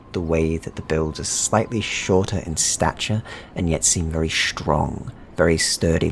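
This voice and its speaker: Man, game master, very low voice, evocative descriptive narration, tabletop fantasy, faint ambient noise. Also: vocal fry.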